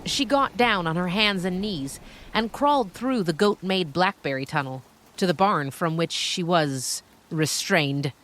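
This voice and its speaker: Low-voiced woman narrating a story. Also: measured pace, fairly bright tone. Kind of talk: scripted story narration with dramatic phrasing.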